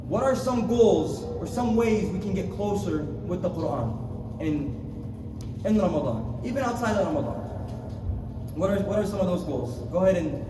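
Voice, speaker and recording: A man whose first language is Arabic leads a youth class in a casual, animated way, teasing and coaxing the group. His voice sits high. It comes from far off in a reverberant room, with steady background noise. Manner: playful, animated, addresses the group directly. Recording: echoey room recorded from afar.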